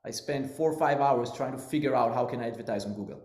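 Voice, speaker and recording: A man's voice: medium pitch, quick pace, a little mellow. Israeli-accented man telling his story. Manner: earnest, loosely phrased, non-native grammar. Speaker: business owner guest. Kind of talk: storytelling interview answer. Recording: roomy echo.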